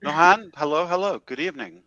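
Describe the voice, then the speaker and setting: Bright-voiced man hosting, slightly dull call audio. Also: a little high, a little fast, slight background noise. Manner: effusive, welcoming. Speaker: host. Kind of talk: hosting an online team meeting. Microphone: video call.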